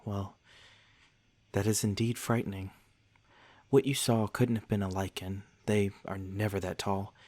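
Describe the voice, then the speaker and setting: A courteous vampire lord acted by a man speaks softly and fairly low, straight to the listener, as in an ASMR roleplay. His wording is genteel and a little old-fashioned. He comes across as gentle, attentive and protective, reassuring in everything he says. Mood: calm, reassuring.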